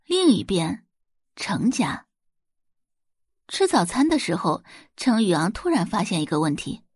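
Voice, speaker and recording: A woman's voice: mid-range voice, very animated, measured pace, slightly rough, no room sound, clean studio sound. A woman reading scripted novel narration in a dramatic storytelling style. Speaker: audiobook narrator.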